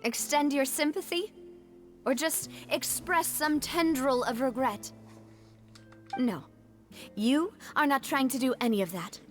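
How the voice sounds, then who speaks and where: A woman's voice: high voice, a little slow, long pauses, soft background music. An actress playing a proud, wounded young noblewoman in a video game, articulate and dramatic. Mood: bitter, accusing. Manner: articulate, dramatic, emotionally direct. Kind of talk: English video game voice acting.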